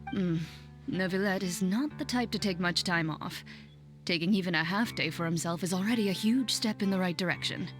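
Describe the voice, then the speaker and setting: A woman's voice: fairly low pitch, a little fast, natural voice. A woman playing a composed, matter-of-fact young woman with a dry wit in a video game. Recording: faint background music.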